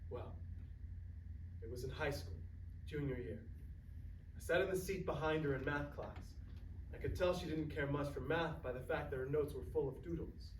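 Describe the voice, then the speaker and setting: Stage drama: sentimental, gentle grandfather male actor, reflective delivery; distant mic, faint noise. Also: on the high side, normal speed, long pauses, echoey room, natural voice. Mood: nostalgic, tender. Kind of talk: staged play reading.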